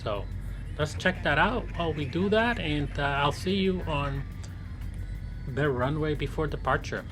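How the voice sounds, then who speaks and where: A man casually narrating his simulated flight, relaxed, with many fillers and restarts. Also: a little high, unhurried. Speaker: flight sim streamer. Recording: music playing behind.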